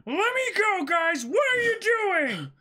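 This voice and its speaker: A hot-headed young pirate acted by a man from a comic reading. The voice is pushed high and bright, blunt and full of defiance. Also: very animated, takes it slowly, exaggerated voice. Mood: angry, defiant. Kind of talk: dramatic comic-book reading.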